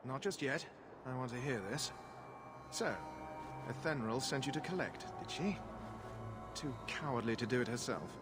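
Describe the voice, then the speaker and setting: An actor playing an evasive, cowardly merchant in a fantasy game, defensive and falsely courteous. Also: male voice, medium pitch, animated, moderate pace, pauses often, over music, close to an everyday voice. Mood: sly, scornful. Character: evasive, cowardly, self-justifying male merchant.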